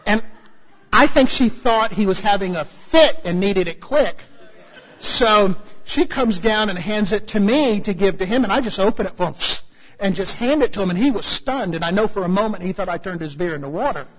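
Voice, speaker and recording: An American man preaching a sermon, telling a humorous story with lively asides to his congregation. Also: high voice, moderate pace, slightly rough, in a large hall, poor audio. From United States.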